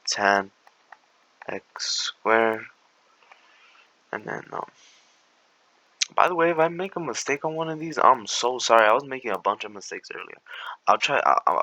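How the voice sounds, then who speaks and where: A young man with a raspy voice, walking through calculus problems in a slangy, laid-back way and sometimes mumbling steps under his breath. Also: fairly low pitch, sharp tone, low-quality recording. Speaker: math tutor. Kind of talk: casual tutoring walkthrough.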